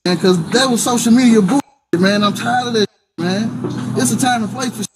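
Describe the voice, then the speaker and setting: A man's voice: extremely high pitch, moderate pace, background noise. A man in his forties talking heatedly with police outdoors, rambling and restarting as he explains himself in slang. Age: 40s.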